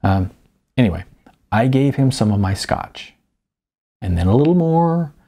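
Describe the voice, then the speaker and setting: A man voicing a weary, self-deprecating writer of almost fifty, halting and sardonic, in an audiobook. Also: medium pitch, sing-song, dark tone. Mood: wry, rueful.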